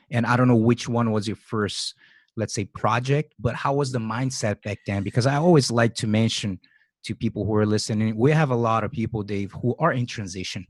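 A man's voice: medium pitch, no echo, clean studio sound. A man hosting a podcast, introducing his guest and asking friendly, short questions. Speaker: podcast host.

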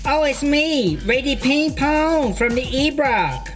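A woman's voice: high voice, animated, takes it slowly, soft background music. An actress playing a pushy, sassy female prison hustler with foul-mouthed sales patter in a comedy audio drama. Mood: breezy.

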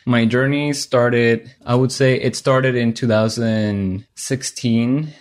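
A man, a software engineer, speaking candidly and confidently as a podcast guest telling his career story. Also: medium pitch, steady tone, takes it slowly. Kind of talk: podcast interview.

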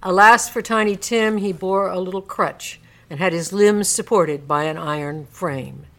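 A woman's voice: on the low side, a little slow, a bit bright. A woman narrator reading a classic story aloud in a dramatic scripted storybook style. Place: radio studio.

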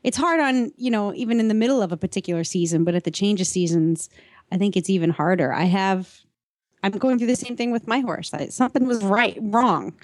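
A woman's voice: medium pitch, brisk, no room sound, studio quality. A woman hosting a podcast, chatting casually in a playful, colloquial way. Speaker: podcast host. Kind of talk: casual chat, conversational interviewing.

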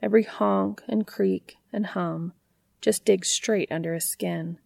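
A woman's voice: mid-range voice, steady tone, a little slow, gravelly, darkish tone, dry recording, studio quality. A woman narrating a piece of written fiction in the style of an audiobook.

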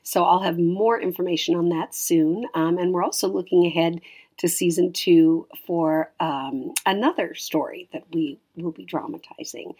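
A woman's voice: on the low side, moderate pace, darkish tone, husky. A woman hosting a podcast, giving an informal, hesitant and appreciative announcement to listeners. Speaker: podcast creator and host.

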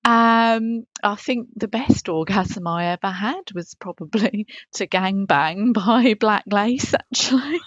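A woman's voice: mid-range voice, slow, few pauses, no echo, highs cut off. A woman playing a saucy middle-aged podcaster who chats agreeably and hesitantly, matter-of-fact about smut. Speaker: podcast co-host. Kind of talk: comic character chat.

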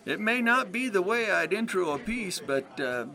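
An actor playing a jovial, needling, hard-drinking 1920s sportswriter in an audio drama, breezy and teasing. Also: male voice, high-pitched, lively intonation, normal speed, pushed and bright, full character voice. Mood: amused approval. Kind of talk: period audio drama acting.

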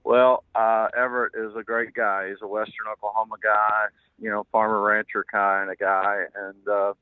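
Hoarse older man on a tinny phone line. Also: fairly low pitch, little pitch movement, dry recording. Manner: halting, hesitant, rambling. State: hoarse from losing his voice. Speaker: guest, racehorse owner. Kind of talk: casual phone interview. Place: car, driving.

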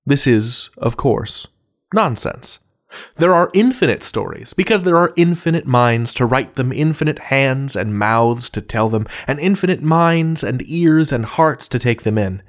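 A man hosting a podcast, reading a scripted introduction in a literary, rhetorical manner with deliberate phrasing. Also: mid-range voice, expressive. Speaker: podcast host.

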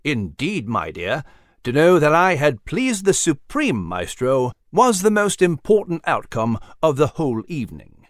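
A narrator voicing a gentle, courtly male mouse who plays the violin. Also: mid-range voice, sing-song, measured pace, no echo, clean studio sound. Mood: tender.

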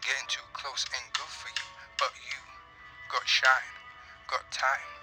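A man's voice: medium pitch, monotone, a little slow, bright, forceful tone. An actor playing a young man who performs a yearning love verse in spoken word for an audio drama. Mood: yearning, measured. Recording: music under the voice.

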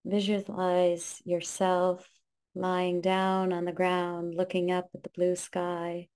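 A woman leading a guided meditation, giving calm, gentle instructions in short, spaced phrases. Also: on the low side, slow pace, clear, resonant tone.

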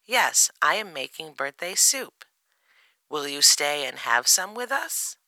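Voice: medium pitch, sing-song, slow pace, bright, forceful tone, dry recording, pitched above the performer's own voice, full character voice. A narrator voicing a cheerful, eager little boy bear cub in a children's storybook. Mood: friendly, excited. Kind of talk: children's storybook character reading.

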